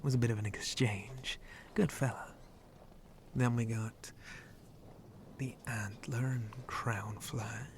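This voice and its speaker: A man playing a flirty, roguish shark-monster pirate in an ASMR roleplay, breathy and often whispering, with a teasing, rustic pirate way of talking. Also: medium pitch, fairly even intonation, slow pace, long pauses, background noise. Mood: instructive, wary. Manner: rustic pirate dialect, hesitant drawl, teasing.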